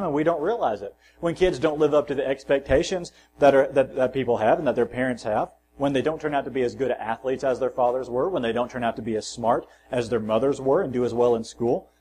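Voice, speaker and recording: A man preaching a sermon in a church, at an ordinary middle pitch. He reads scripture with rising force and drops softly at the ends of his phrases. A faint hum sits under the recording. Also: poor audio. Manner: self-deprecating asides and personal anecdotes. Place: church sanctuary.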